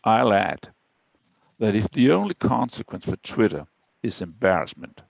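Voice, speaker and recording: A man from Denmark, speaking English with a Danish accent, presenting a prepared webinar talk in a measured, slightly halting way. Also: lowish voice, sing-song, gravelly, no room sound, lo-fi sound.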